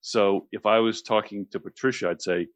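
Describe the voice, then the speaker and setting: A deep-voiced man talks on the radio in a close, dry sound. He explains things conversationally as a host would, rambling a little and often repeating a phrase before moving on. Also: fairly even intonation, normal speed, no room sound, lo-fi sound. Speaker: radio show host explaining relaxation technique.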